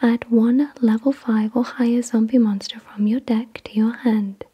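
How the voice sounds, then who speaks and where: A woman hosting ASMR, reading out descriptions in a breathy whisper with careful, soothing enunciation. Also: a little high, fairly even intonation, moderate pace, dark tone, dull audio. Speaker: ASMR video host. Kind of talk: soft-spoken ASMR card unboxing, reading descriptions.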